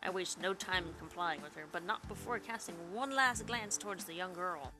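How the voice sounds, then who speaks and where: A woman's voice: fairly low pitch, moderate pace. A woman gaming host reading visual novel narration aloud with dramatic first-person storytelling over game music.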